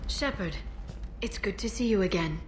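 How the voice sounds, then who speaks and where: A woman's voice: medium pitch, lively intonation, moderate pace, slight background noise, natural voice, low-quality recording. A woman playing a helpful female alien information broker in a video game, polite and composed. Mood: pleasant, informative. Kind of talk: video game character acting.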